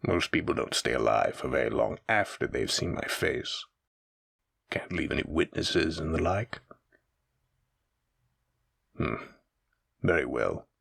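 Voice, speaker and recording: A menacing supernatural mafia boss, played by a man toys with his captive in a roleplay audio drama. His voice is very deep and husky, with heavy gravel in it. He taunts and teases theatrically, speaking straight to the listener. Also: expressive, unhurried, long pauses, fairly bright tone, full character voice. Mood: amused, threatening. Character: menacing, teasing male mafia boss villain with shadow powers.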